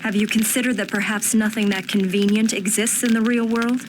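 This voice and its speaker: A woman voicing a serious, analytical, measured and skeptical member of a fantasy video game party. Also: medium pitch, fairly even intonation, lightly acted. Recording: noisy game ambience behind voice.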